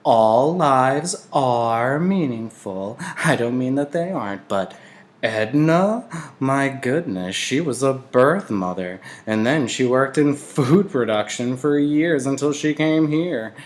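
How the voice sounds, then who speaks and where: A man voicing a frail, gossipy, slightly prim old woman in a storybook, confiding and animated. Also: mid-range voice, slow pace, pitched above the performer's own voice. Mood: chatty, prim.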